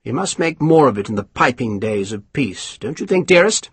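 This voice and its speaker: Man playing a pompous colonel, husky, swooping pitch, snappish, condescending, audiobook. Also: very animated, moderate pace, full character voice. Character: pompous scheming male British colonel. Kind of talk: audiobook character voice.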